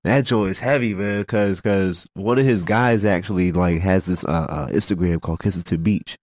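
A congested man hosting a casual podcast chat, halting, repeating words and filling gaps with fillers. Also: low voice, darkish tone, no room sound, clean studio sound. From Philadelphia. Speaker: podcast host. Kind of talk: casual radio-style chat.

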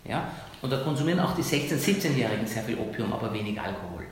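A man from Iran who is a doctor, arguing emphatically as an interview guest, often ending points with a quick tag question. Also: medium pitch, very animated. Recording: very echoey room.

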